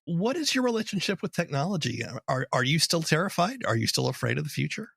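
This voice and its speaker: A man hosting a casual podcast interview in a husky voice with lively pitch movement. The sound is dry as he puts his questions. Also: quick pace, no room sound.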